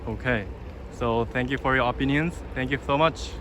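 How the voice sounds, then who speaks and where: A man's voice: medium pitch, normal speed. Japanese man interviewing on a street. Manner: simple phrasing, short prompts. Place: city street outdoors. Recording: outdoor street noise.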